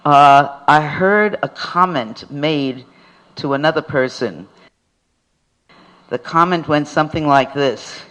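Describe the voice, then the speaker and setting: Older male speaker at a tribute, unhurried and slightly high in pitch, wry storyteller reading out a self-deprecating anecdote. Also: fairly bright tone, low-quality recording. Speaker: activist speaker at a tribute event. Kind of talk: reading a prepared anecdote to an audience.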